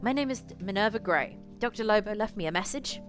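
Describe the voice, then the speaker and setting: A woman's voice: medium pitch, animated, a little fast, voice pushed up, lightly acted. A woman voicing a level-headed, cautious heroine with a reasoned, firm manner in a visual novel game. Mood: polite. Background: music.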